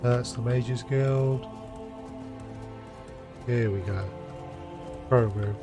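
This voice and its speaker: A man giving casual, informal commentary as he streams a game, chatty and unhurried. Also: medium pitch, steady tone. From United Kingdom. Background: faint game music.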